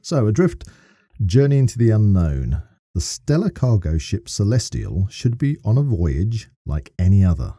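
A man's voice: fairly low pitch, big pitch swings, normal speed, few pauses, mellow, no room sound, clean studio sound. A man co-hosting a podcast in casual chat, with theatrical emphasis. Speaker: podcast co-host.

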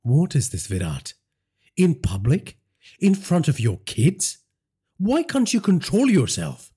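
A man's voice: fairly high pitch, huge pitch swings, slow pace, warm tone, slightly rough, pitched above the performer's own voice, full character voice. A man voicing a distraught wife who pleads and scolds. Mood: angry.

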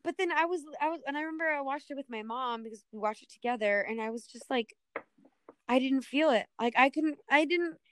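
A young woman with a fairly high voice talks casually as a podcast co-host. She rambles and hesitates, leaning on filler words, and the sound is dry and close. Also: fairly quick, clean tone, no echo. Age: young adult. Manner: hesitant, rambling, heavy use of "like". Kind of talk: casual panel chat about TV.

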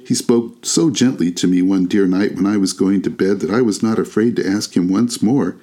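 A man's voice: low-pitched, normal speed, warm tone, lightly acted. A man voicing a loving, gleeful little girl, eager and affectionate, in an audiobook. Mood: gleeful, tender. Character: little girl, loving sister, brimful of glee. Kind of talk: audiobook character voice.

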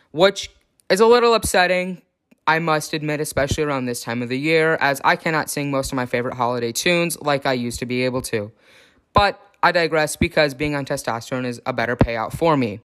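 A man's voice: medium pitch, fairly bright tone, clean tone. A young man from the Chicago area, candidly talking through his own experiences in a casual, upbeat vlog with self-deprecating humor. His voice is changing and sometimes cracks. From Chicago area, USA. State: voice changing on testosterone, occasional cracks. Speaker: vlogger.

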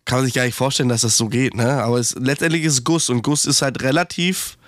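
A man's voice: medium pitch, fairly even intonation, quick pace, fairly bright tone, no echo. German guy with a cold rambling about fixing a pump, swears a lot, dry close mic. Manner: chatty, swearing, rambling with restarts. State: has a cold. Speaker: podcast co-host, heating technician. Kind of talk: casual podcast chat.

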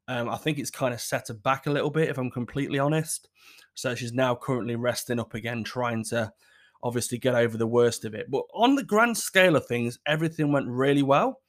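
A man in his thirties from England hosting his own podcast, talking casually in a rambling, apologetic way with a scratchy, sore throat. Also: mid-range voice. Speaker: podcast host. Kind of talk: casual solo podcast chat.

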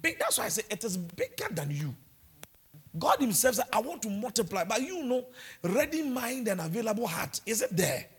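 A man's voice: high voice, big pitch swings, moderate pace, slightly rough. A man from Ghana with a Ghanaian accent, preaching a sermon and mixing in humorous asides. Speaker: pastor.

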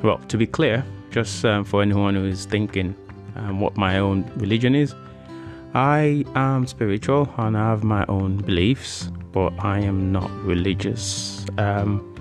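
A man's voice: fairly low pitch, fairly even intonation, a little slow, over music. A man hosting a podcast in an informal, chatty, conversational way. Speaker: podcast host.

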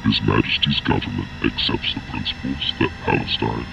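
Man, extremely low voice, very creaky, formal document reading, measured, noisy ambient background. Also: muffled recording.

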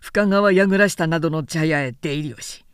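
A woman's voice: deep voice, a little fast, smooth, no echo, clean studio sound, pitched below the performer's own voice, lightly acted. A woman voicing a proud male samurai official in an audiobook, speaking in stiff, cutting, formal archaic language. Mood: cutting, indignant.

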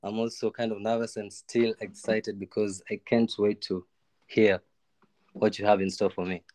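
A man with a Kenyan accent speaks as a guest in casual chat. His voice is low, and the sound is very dry. He is informal and hesitates as he looks for words. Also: level tone, moderate pace, a little mellow, dry recording. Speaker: podcast guest.

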